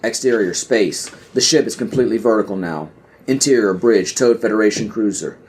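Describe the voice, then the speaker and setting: A man's voice: on the low side, a little fast, a little mellow, a little hiss or hum. A man narrating an audio play in a scripted, screenplay-reading style.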